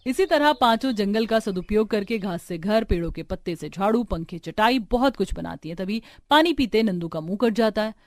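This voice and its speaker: A woman's voice: mid-range voice, lively intonation, moderate pace, few pauses. A woman reading scripted narration for a cartoon village family story.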